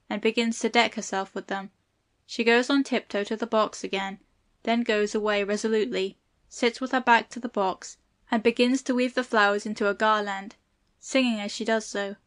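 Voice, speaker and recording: A woman's voice: a little high, steady tone, moderate pace. A woman reading stage directions as the narrator of an audiobook play.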